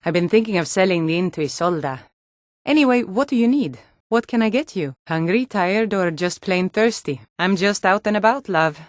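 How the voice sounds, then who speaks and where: A woman's voice: medium pitch, expressive, moderate pace, dry recording, clean studio sound, lightly acted. An actress voicing a hardy, no-nonsense Nord townswoman and guard in a fantasy video game, with a firm, plain-spoken delivery. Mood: plain, businesslike. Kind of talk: scripted fantasy game dialogue.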